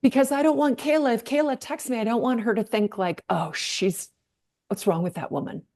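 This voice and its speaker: A woman's voice: fairly high pitch, brisk, darkish tone. A middle-aged woman hosting a casual online chat on a video call, bubbly and emphatic, often laughing. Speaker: host.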